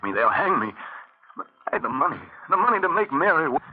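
Nervous guilty husband, fretful highish voice all over the place, muffled old radio drama. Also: male voice, big pitch swings, fast, faint music behind. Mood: panicked. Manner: pleading, fretful. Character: anxious guilt-ridden husband, a reluctant male criminal accomplice. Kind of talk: 1940s radio thriller acting. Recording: dull 1940s radio recording.

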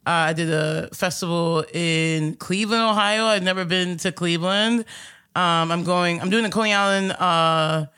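Raspy low-voiced new woman, comic, rambles with lots of ums, bright edge to it. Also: steady tone, moderate pace. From Astoria, New York. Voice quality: deep and raspy voice. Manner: rambling, many fillers and restarts. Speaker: stand-up comedian, guest. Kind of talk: casual interview chat.

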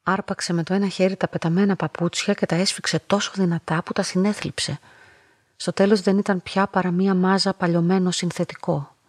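A woman playing a lonely, submissive young narrator in a literary radio drama, speaking in a measured, introspective way. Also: fairly low pitch, steady tone, dry recording, clean studio sound, natural voice. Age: young adult. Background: music.

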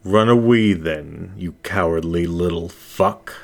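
Casting: male reader as vengeful shadow stalker for a thriller story, low-pitched, slow, menacing taunts. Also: creaky, full character voice.

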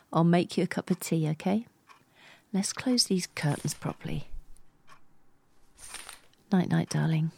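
A woman's voice: fairly low pitch, creaky, a little mellow, no room sound, full character voice. A woman playing a devoted, tender niece in her forties in a radio play. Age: 40s. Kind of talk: radio play dialogue and monologue.

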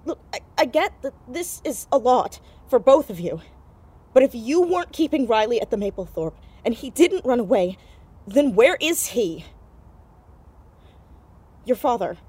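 A woman's voice: a little high, sing-song, unhurried, pauses often, a little mellow, husky, exaggerated voice. A woman playing a headstrong teenage girl investigator in an audio drama, urgent and confrontational. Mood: angry, demanding.

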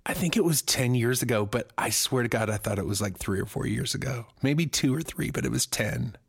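A man's voice: medium pitch, expressive, a little fast, vocal fry, breathy edge, dry recording, clean studio sound. A male podcast co-host and singer getting over a cold, joking crudely in a rambling, casual way.

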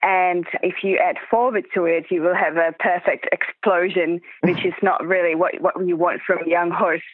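A woman's voice: fairly low pitch, fairly even intonation, normal speed, no echo, narrow, tinny audio. A woman from the Czech Republic with a Czech accent, chatting as an interview guest and coach, often repeating words for emphasis. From Czech Republic, Prague. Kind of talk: casual interview chat.

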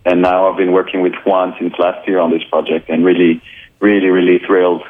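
Very deep-voiced man on a phone line. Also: little pitch movement, fairly quick. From Colombia. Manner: easygoing, many fillers. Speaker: filmmaker and photographer, guest. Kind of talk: casual radio interview. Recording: narrow phone-like radio sound.

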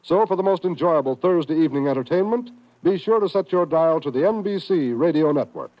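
Animated American male radio announcer. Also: fairly high pitch, fairly quick. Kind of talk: 1950s network radio announcing, scripted promotion. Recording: dull old radio recording.

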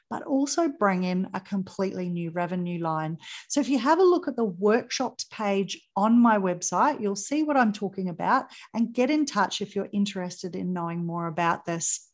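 A woman hosting a tourism podcast, talking listeners through industry trends in an upbeat, promotional way. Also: medium pitch. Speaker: podcast host. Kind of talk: podcast host monologue.

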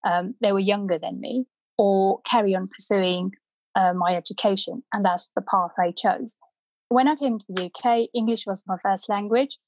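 A woman's voice: medium pitch, moderate pace, darkish tone, no echo, dull audio. Reflective woman from India, Punjabi native speaker. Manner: reflective, many fillers and restarts. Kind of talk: personal story, unscripted.

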